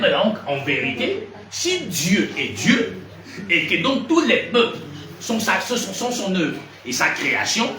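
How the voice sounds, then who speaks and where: A man from Gabon preaching in to a congregation, keeps firing questions at the listeners. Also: high voice. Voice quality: lively, questioning delivery that probes the audience. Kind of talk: sermon teaching to a congregation. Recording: echoey room from far off, noisy.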